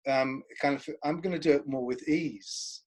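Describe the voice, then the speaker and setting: A man's voice: medium pitch, normal speed. A man chatting casually and reflectively as an interviewer, with informal, loosely phrased remarks.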